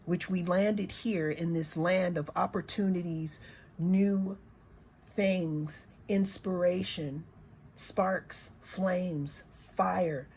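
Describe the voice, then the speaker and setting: A woman reads oracle cards in a hoarse, lowish voice, taking her time over every phrase. She circles back and repeats words as she searches for what to say. A faint background hiss sits under her voice. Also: steady tone, very slow, a little mellow. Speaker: tarot and oracle card reader. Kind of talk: slow, reflective spiritual card reading.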